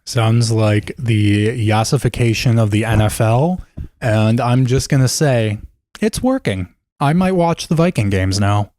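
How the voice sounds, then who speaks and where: A young man, joking around as a podcast co-host, his voice a bit scratchy and hoarse. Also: mid-range voice, measured pace, a little mellow, no room sound, studio quality. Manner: playful, jokey, campy. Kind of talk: casual chat.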